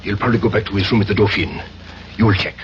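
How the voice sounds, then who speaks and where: An actor playing a terse, commanding French conspirator with a French accent, in the style of 1970s radio drama. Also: male voice, fairly low pitch, fairly even intonation, racing, close to an everyday voice. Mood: urgent, scheming. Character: commanding French male conspirator posing as a waiter. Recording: dull noisy vintage radio, music.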